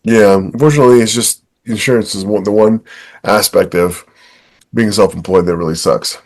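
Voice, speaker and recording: A man's voice: fairly low pitch, moderate pace, darkish tone. A man co-hosting a podcast, talking casually and emphatically, acting out bits of dialogue as he goes. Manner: emphatic, acts out quoted dialogue. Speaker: podcast co-host. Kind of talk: casual chat.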